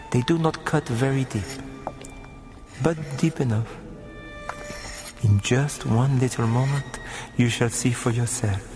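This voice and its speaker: A man plays a suave murderer in a radio horror drama, icily polite and in no hurry. He speaks in precise, formal phrases with mocking courtesy, over clearly audible music. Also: mid-range voice, darkish tone, in a large hall, lightly acted. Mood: softly menacing.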